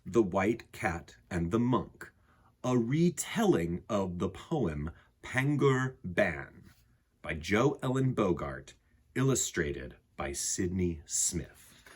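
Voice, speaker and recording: Slow, deep, husky male storyteller. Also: sing-song. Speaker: storyteller, picture book reader. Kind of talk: reading a picture book aloud to children.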